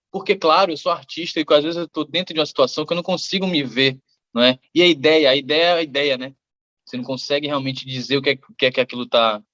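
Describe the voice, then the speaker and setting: A man from Salvador speaks, talking slowly through his ideas as a musician in an interview. His voice sits at a middle pitch. The audio is a little dull and muffled, like a remote call. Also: a bit bright. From Salvador, Bahia, Brazil. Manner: reflective, rambling, many fillers. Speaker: musician guest. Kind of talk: interview answers.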